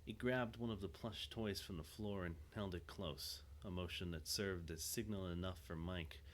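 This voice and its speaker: A man with a low voice narrates an audio drama. He reads scripted, dramatic prose as the storyteller. There is faint noise behind him. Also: moderate pace.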